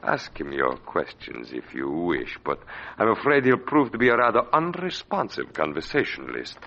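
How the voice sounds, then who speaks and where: Male radio villain: mad, domineering puppeteer, low-pitched, forceful, theatrical menace, vintage muffled sound. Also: huge pitch swings, moderate pace, vocal fry, heavily acted. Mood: sinister politeness. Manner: gloating, theatrical, erupting into rage. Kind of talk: 1940s radio thriller acting. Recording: noisy, dull vintage radio recording.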